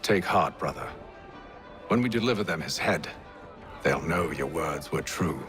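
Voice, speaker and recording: An actor playing a composed, principled gentleman leader in a video game, speaking formally with measured, deliberate phrasing. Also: extremely low pitch, lively intonation, soft background music, full character voice. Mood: measured, resolute. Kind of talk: video game cutscene acting.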